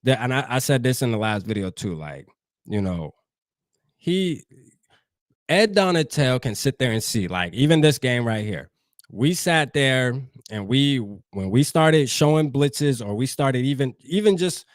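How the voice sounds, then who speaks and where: A man's voice: medium pitch, animated, unhurried, dry recording, clean studio sound. A man from New Jersey hosting a fan show, talking loosely and profanely straight to his live chat. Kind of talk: casual livestream sports talk.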